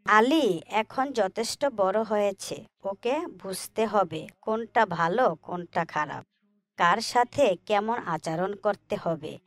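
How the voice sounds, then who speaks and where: Concerned, loving mother voiced by a woman for moral cartoon, mid-pitched, slow pace, dry sound. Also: animated, no echo. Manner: affectionate, instructive. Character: caring, pious mother, patient but concerned. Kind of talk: Islamic moral cartoon dialogue.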